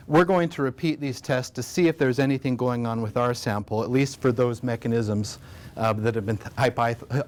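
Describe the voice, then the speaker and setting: Man, American accent, conference presentation, loose delivery, lots of ums and restarts. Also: medium pitch. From United States. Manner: relaxed, many fillers and restarts. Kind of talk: academic conference talk. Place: conference room.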